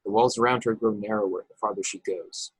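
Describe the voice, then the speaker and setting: A man with a husky voice reading stage directions as narrator of a script table read over a video call. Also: lowish voice, steady tone, a little fast, no echo, rough recording. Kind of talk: scripted narration with occasional stumbles.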